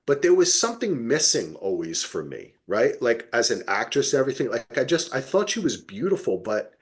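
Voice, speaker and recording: Male podcast co-host, middle-aged, very animated delivery, emphatic and playful in casual review chat. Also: mid-range voice, brisk. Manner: emphatic, playfully exaggerated storytelling.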